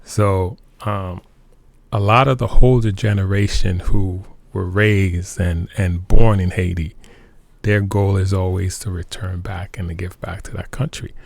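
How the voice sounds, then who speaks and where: A middle-aged man talks in a personal interview. His voice sits low with noticeable fry, captured close and dry. He searches for words and repeats short phrases to stress them. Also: steady tone, darkish tone, dry recording. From Montreal, Canada. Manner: halting, repeats phrases for emphasis. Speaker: interview guest, military veteran.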